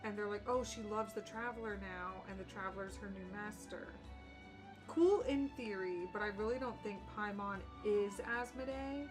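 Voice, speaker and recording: A woman's voice: mid-range voice, normal speed, rough recording. A young woman giving casual gaming commentary as a streamer, chatty, slangy and opinionated. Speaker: game streamer. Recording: game music behind the voice.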